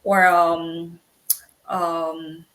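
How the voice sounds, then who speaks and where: A woman in her thirties from the Philippines, a guest in a casual interview, speaking haltingly with repeated words and frequent laughs. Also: on the low side, flat delivery, crowd noise, poor audio. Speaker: guest, business owner.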